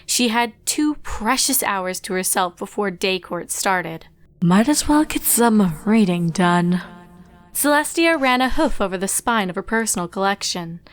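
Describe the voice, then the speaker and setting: A woman's voice: medium pitch, no room sound. A woman reading a story aloud as narrator, in scripted storytelling style. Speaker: fan fiction reader and narrator.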